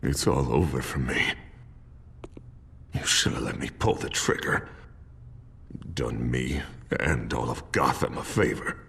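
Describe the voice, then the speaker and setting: An anguished, gravelly, unhinged fallen politician. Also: male voice, extremely low pitch, unhurried, long pauses, a bit bright, heavily acted. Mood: defeated. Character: anguished, unstable fallen politician, swinging from rage to despair. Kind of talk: dramatic video game voice acting.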